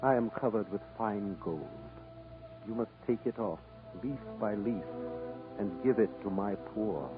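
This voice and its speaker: A man playing a compassionate, sorrowful statue of a prince, soft and solemn, in 1940s radio acting. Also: on the low side, a little slow, lightly acted. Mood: solemn, kind. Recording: muffled old 1940s radio broadcast, orchestral music.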